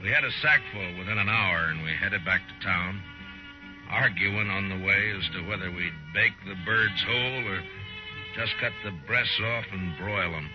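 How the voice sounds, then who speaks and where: Old-radio western lead, male actor: stern frontier marshal, low voice, understated delivery, muffled vintage sound with music. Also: moderate pace, natural voice. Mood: dry narration. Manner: terse, understated, questioning. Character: stern, laconic frontier marshal, a grown man. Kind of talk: 1950s radio western acting and narration.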